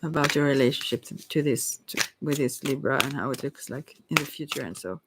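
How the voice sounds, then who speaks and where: A tarot reader talking casually and hesitantly, thinking aloud while answering questions, in a soft voice that at times drops to a whisper. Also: mid-range voice, brisk, very noisy. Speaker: tarot reader hosting a live reading.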